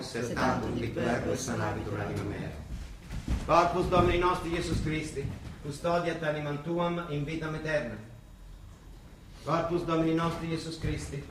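Actor as echoey, impatient Roman monsignor. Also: male voice, on the high side, slight background noise, natural voice. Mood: solemn, liturgical. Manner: colloquial, chivvying, muttering and exclaiming. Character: bustling, impatient, grumbling but fond Roman monsignor. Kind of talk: theatrical radio drama acting. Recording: echoey stage-like sound with music behind.

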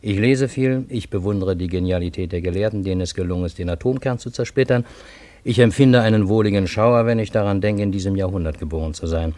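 An actor playing a wry, reflective man who narrates his own story in a radio play, with measured, literary phrasing. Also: lowish voice, mellow, no echo, close to an everyday voice. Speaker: first-person narrator.

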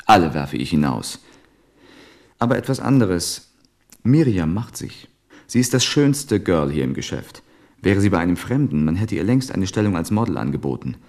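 A man's voice: low-pitched, a little mellow. An actor playing a confident, boastful businessman son in a radio play, speaking in a self-assured, persuasive way. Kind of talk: literary radio play acting.

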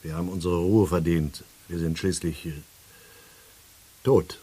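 An actor playing a weary, reluctant dead man in a radio play, husky and plaintive. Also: low-pitched, fairly even intonation, measured pace, mellow. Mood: weary protest. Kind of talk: 1980s German radio play acting.

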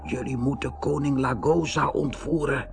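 A man's voice: medium pitch, steady tone, normal speed, gravelly, over music, close to an everyday voice. A man voicing a scheming, bossy storybook villain with a conspiratorial, commanding manner. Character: scheming villain, sly would-be usurper of a jungle kingdom.

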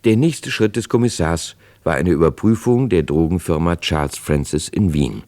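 A man narrating a true crime radio play as a sober storyteller, scripted and measured, building suspense. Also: sits low, dark tone, lightly acted. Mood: sober narration.

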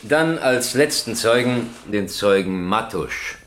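A low-voiced, courteous presiding judge. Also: male voice, lively intonation, a bit bright, a little hiss or hum. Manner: formal, measured, polite. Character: courteous, orderly male presiding judge running a trial. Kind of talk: courtroom radio drama acting.

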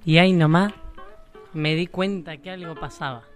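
A woman's voice: low voice, steady tone, normal speed, soft background music, natural voice. A woman playing a tough, nosy small-town narrator of a crime tale in a radio play, dramatic and hard-boiled. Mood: ominous, watchful. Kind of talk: live radio play acting.

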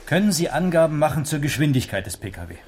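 A man's voice: on the high side, very animated, fast talker, darkish tone. An actor playing a sober traffic policeman in a radio crime drama, formal, precise and matter-of-fact. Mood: businesslike. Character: sober, methodical male traffic policeman taking a statement. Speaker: police officer taking a report. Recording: noisy 1980s radio play recording.

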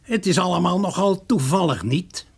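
A man playing a sceptical police inspector in a radio drama, probing coolly and sardonically in a gravelly, rough voice. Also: high-pitched, animated, moderate pace. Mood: sceptical, stern.

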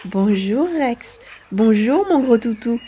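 A woman's voice: fairly high pitch, expressive, takes it slowly, warm tone, a little hiss or hum. A woman voicing an eager young boy on holiday at his grandparents' farm in an audio drama. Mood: cheerful. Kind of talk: French-language audio drama acting.

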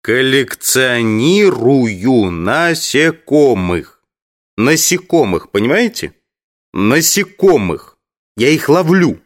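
An actor playing a polite, educated teacher who collects insects, speaking courteously and patiently in an audio drama. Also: male voice, mid-range voice, big pitch swings, slow pace. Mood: puzzled, explaining.

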